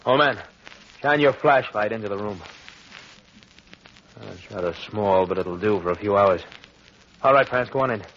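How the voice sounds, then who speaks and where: A nasty nazi agent in an old radio play, sneery and bossy, muffled 40s radio sound. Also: male voice, on the low side, moderate pace, pauses often, a little hiss or hum. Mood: sneering. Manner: sneering, commanding, rising to shouts. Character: ruthless male Nazi agent, arrogant and cruel. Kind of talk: 1940s radio drama acting. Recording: dull lo-fi 1940s radio broadcast.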